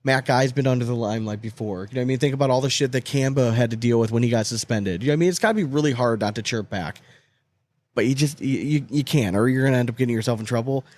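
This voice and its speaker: A man's voice: mid-range voice, quick pace, darkish tone. A man hosting a casual sports podcast chat, talking in a blunt, emphatic way and swearing freely. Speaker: podcast host.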